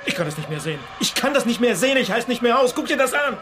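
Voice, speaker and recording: A humorless male shopkeeper near fifty, an obsessive sports fan in a radio play. He is agitated and terse, speaking very high and fast as he curses. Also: very animated. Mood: furious, exasperated. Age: 40s. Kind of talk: crime radio drama dialogue.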